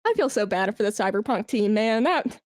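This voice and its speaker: A woman chatting casually as an interview guest, telling work stories in a candid, slangy, animated way. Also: medium pitch, fairly quick, no echo, clean studio sound. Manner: candid, animated storytelling with slangy asides and acted-out quotes. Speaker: esports brand manager, podcast guest.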